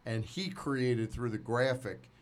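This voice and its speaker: Rambling sports radio host in his sixties. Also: mid-range voice. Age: 60s. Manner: digressive, halting, trails into asides. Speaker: sports talk show host.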